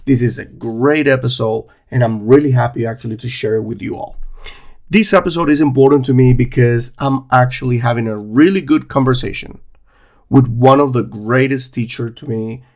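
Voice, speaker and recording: A man's voice: mid-range voice, moderate pace, darkish tone. A man hosting a podcast, introducing his guest and the episode with effusive enthusiasm. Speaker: podcast host.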